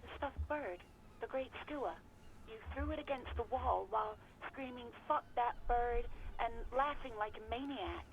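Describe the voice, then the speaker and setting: A woman's voice: on the high side, measured pace, vocal fry, slight background noise, poor audio. An actress voicing a young woman barkeep in a game, talking on the phone, reproachful and hesitant.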